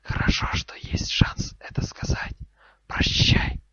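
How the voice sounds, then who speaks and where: Guy acting earnest wizard kid, whispery n hissy, calm clipped delivery, audiobook, very dry. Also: slow, no room sound, lo-fi sound. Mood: resolute, farewell. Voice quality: hissing, unvoiced whisper. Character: earnest, composed boy wizard speaking snake language.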